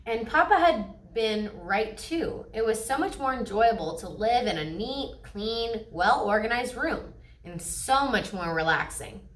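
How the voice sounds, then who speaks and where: A woman's voice: a little high, lively intonation, measured pace, a bit bright, distant mic. A woman storyteller reading a children's picture book aloud expressively. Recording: echoey room.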